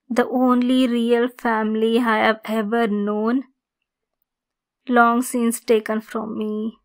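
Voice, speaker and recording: Slow, high-voiced possessive centaur woman, acted by a woman. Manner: slow, deliberate, insistent, clingy. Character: possessive yandere female centaur, lonely, obsessive, domineering. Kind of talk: ASMR roleplay monologue.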